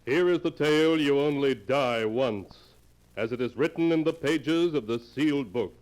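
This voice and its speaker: A man's voice: mid-range voice, natural voice. Male actor as ominous radio host, cavernous reverb. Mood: solemn. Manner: portentous, theatrical, deliberate. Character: solemn, ominous host announcing tales of terror. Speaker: host and narrator. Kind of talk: 1940s radio horror narration. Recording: cavernous, noisy old radio recording.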